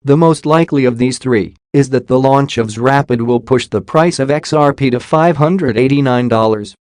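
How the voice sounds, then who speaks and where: A man narrates a scripted explainer at a middling pitch. He reads formally and carefully, and the sound is very dry, with no room around the voice. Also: a little mellow, smooth, no room sound.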